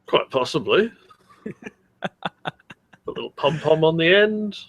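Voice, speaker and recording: A man's voice: fairly high pitch, expressive, slow, fairly bright tone, breathy edge, no echo, dull audio. A man co-hosting a comedy podcast, chatting casually in a blunt, crude manner. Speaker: podcast co-host.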